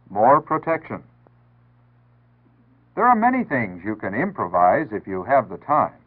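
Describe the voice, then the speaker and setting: An American man reading scripted 1960s public service narration in a measured, earnest, instructive manner. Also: medium pitch. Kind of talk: 1960s civil defense PSA scripted narration. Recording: tinny band-limited 1960s broadcast audio.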